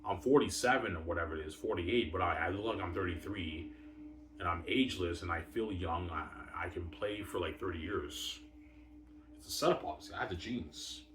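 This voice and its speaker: Man, low-pitched voice, casual rambling, repetitive and boastful, emphatic bursts, distant roomy recording. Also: brisk, fairly bright tone. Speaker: vlogger. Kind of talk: rambling casual hockey talk. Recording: roomy, distant mic, faint noise.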